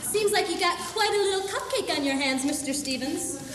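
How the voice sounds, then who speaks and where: A woman's voice: very high voice, expressive, normal speed. A teenage girl in a school play, acting a hardboiled female private eye with dry, clipped noir patter. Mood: wry. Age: teens. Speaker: student actor. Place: high school stage. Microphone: distant camera. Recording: echoey school auditorium from far off, noisy.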